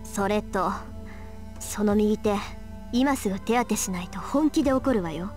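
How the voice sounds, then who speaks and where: A woman playing a bossy, sharp-tongued young female mage in an anime visual novel, brisk and assertive. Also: mid-range voice, soft background music, close to an everyday voice. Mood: stern.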